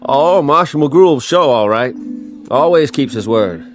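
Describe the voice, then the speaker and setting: A man acting an eager saloon bartender in a Western radio drama. His mid-pitched voice is folksy and nervously chatty, with music playing clearly behind it. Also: lively intonation, measured pace, lightly acted. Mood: nervous eagerness. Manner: folksy, chatty, over-assuring.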